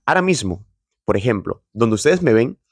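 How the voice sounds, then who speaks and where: A man's voice: medium pitch, big pitch swings, normal speed, a little mellow, slightly rough, dry recording, studio quality. A man giving an earnest, encouraging reflection straight to camera, admitting he is nervous about speaking on video. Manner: earnest and encouraging, addresses viewer directly. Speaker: motivational video host.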